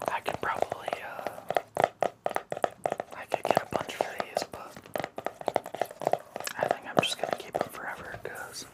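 A man's voice: unhurried, long pauses. Guy in his 30s whispering asmr, casual fan chat, close on the mic. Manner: casual, enthusiastic fan talk. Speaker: ASMR video creator showing a collection. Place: small living room indoors.

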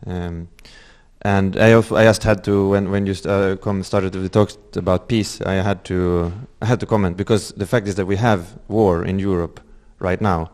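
A young man with a Swedish accent, making an earnest, halting argument in a group discussion on a handheld mic. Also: low voice, steady tone, a little mellow. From Sweden. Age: young adult. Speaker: panel participant. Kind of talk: discussion contribution. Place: medium indoor room with seated audience. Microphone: handheld microphone.

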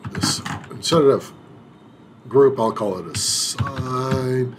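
Slow, hesitant man narrating a screen tutorial. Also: mid-range voice, background noise. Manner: unhurried, hesitant, many filler sounds and restarts. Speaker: IT administrator demonstrating software setup. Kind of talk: screen-recorded tutorial walkthrough.

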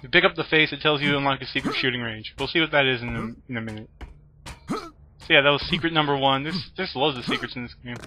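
A man's voice: mid-range voice, fast talker, sharp tone. A man doing casual, joking let's play commentary in a sarcastic, wisecracking way. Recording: noisy, with game audio behind. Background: video game ambience and gunfire.

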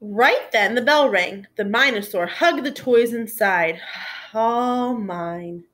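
Woman, thirties, fairly high voice, expressive storytime narration, home recording. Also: a little slow. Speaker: storybook reader for children. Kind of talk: expressive children's read-aloud narration. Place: small room at home, indoors.

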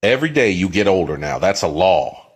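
A man playing an affable, fast-talking older outlaw who coaxes like a peacemaker in a western drama. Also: low voice, big pitch swings, slightly rough, exaggerated voice. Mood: urgent warning. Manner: cajoling, chatty. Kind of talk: dramatic western film acting demo.

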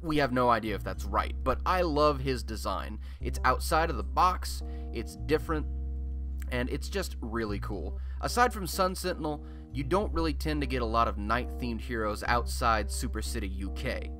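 Male actor as wry superhero-city radio host. Casual, conversational, animated pitch, soft music underneath. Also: moderate pace, close to an everyday voice. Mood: enthusiastic, chatty. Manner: wry, conversational, mildly sweary. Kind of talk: casual scripted radio monologue.

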